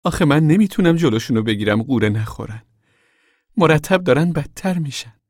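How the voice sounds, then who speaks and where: A man's voice: mid-range voice, lively intonation, a little mellow, slightly rough, no room sound, studio quality, voice pushed up. A man voicing a small, timid, ashamed mother in a story, pleading in a meek, whining way. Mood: whining, defensive.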